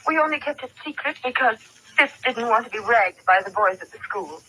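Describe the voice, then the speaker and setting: Young grieving sweetheart, vintage radio mystery: proud, well-spoken, dramatic, forceful tone. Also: female voice, a little high, lively intonation, normal speed. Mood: earnest, resolute. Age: young adult. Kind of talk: old-time radio drama acting. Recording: thin, noisy vintage radio recording.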